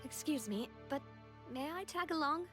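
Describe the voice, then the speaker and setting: A young noblewoman from a video game, with a fairly high voice. She sounds earnest and resolute through her grief, over a musical backdrop. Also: expressive, moderate pace, fairly bright tone, soft background music. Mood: sad, determined.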